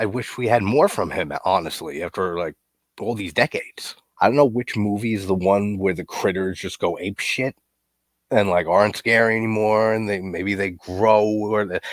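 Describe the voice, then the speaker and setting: A low-pitched man in his thirties talks through a horror movie review in a loose, rambling way, leaning on fillers and the odd curse. His nose is stuffed up from a cold. The recording is close and dry, with no room sound. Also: no room sound. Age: 30s. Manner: rambling, filler-heavy, swears casually. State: congested, getting sick. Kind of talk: casual movie commentary.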